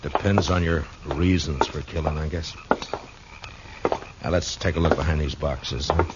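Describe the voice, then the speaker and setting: An actor playing a stern, steady frontier marshal in a 1950s western radio drama, terse and commanding, both narrating and in scenes. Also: male voice, very deep voice, moderate pace, heavy background noise. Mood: grim, watchful. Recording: dull old radio recording with music.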